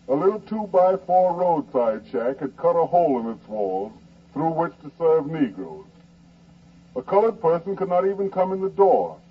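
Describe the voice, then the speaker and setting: A man's voice: fairly high pitch, natural voice. An actor plays an American man telling of absurd ordeals with wry amusement. It is 1940s radio narration, in a conversational storytelling manner. The sound is dull and distant, with faint noise. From Kansas, United States. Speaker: narrator and essayist. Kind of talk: 1940s radio dramatized first-person narration. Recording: muffled, distant, noisy old radio broadcast.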